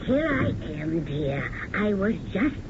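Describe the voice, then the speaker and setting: A loyal dresser who speaks slowly and soothingly, never hurried. The voice is muffled like an old radio broadcast, with a steady hiss underneath. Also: female voice, medium pitch. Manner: soothing, measured. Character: calm, loyal female dresser of an actress. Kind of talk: 1970s radio drama acting.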